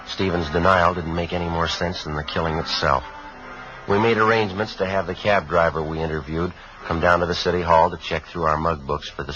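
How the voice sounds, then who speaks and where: Very deep-voiced, deadpan American police sergeant. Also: male voice. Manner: deadpan, terse, matter-of-fact. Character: calm, businesslike male police sergeant narrating his case. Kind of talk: 1950s radio drama, clipped procedural narration. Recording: dull 1950s radio broadcast, faint music.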